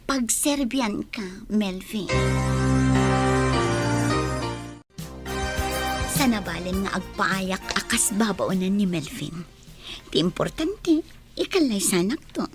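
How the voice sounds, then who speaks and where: A woman's voice: medium pitch, big pitch swings, a little slow, over loud music. A woman playing a stubborn, defiant young village maiden in a radio drama, with pert, emphatic delivery. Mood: yielding, scheming.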